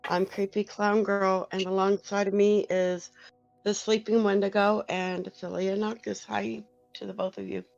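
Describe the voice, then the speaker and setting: Slow-talking woman podcast host. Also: mid-range voice, level tone, gravelly, a little mellow. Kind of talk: casual podcast chat. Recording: dull lo-fi sound with faint music.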